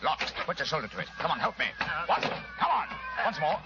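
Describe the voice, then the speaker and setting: An actor with a British accent playing a commanding, eloquent gentleman detective in a 1940s radio drama. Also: fairly high pitch, huge pitch swings, fast talker. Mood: urgent, commanding. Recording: noisy, muffled old-time radio recording.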